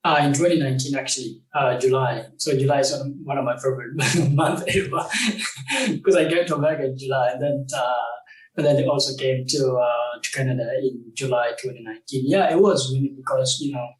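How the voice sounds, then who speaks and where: A young man from South Sudan, a game company founder, talking as an interview guest in a halting way, with many fillers and restarts. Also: medium pitch, steady tone, roomy sound, distant mic, low-quality recording. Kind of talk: casual interview conversation.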